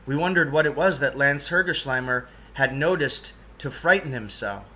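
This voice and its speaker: Very bright-voiced man reading a story. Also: mid-range voice, normal speed. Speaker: reader, teacher reading aloud. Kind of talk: audiobook-style storytelling of a children's novel.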